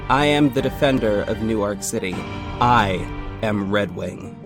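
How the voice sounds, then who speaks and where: A man's voice: lowish voice, a little slow, creaky, over music. A man playing an earnest young vigilante private investigator in an audio drama. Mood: solemn, heroic. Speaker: narrator and lead actor. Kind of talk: first-person audio drama narration and dialogue.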